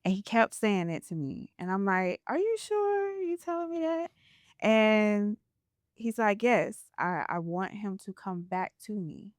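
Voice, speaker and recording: Slow-spoken, smooth-voiced woman host. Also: mid-range voice, animated, no room sound, studio quality. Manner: earnest, reflective, spiritual storytelling. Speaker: podcast host. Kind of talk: casual interview chat.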